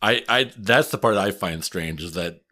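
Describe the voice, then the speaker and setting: A man with a Wisconsin accent hosts a podcast in casual chat. His voice is on the low side, and he talks in a thoughtful, halting way, restarting his sentences and adding ums. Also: bright, forceful tone. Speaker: podcast host and historian.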